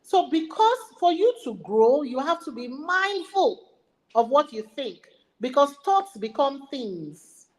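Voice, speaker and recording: A woman with a Nigerian accent speaks in a fairly high voice, leading a motivational webinar. Her delivery is animated and emphatic, and she circles back to repeat her key lines for weight. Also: takes it slowly, poor audio. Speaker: learning and development speaker.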